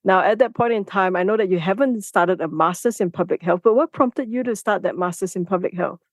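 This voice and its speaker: A woman at a middle pitch hosts a podcast interview. Her voice sounds dry and close, with no room around it. She moves from a read introduction into easy chat. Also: a little fast, no room sound. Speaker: podcast host.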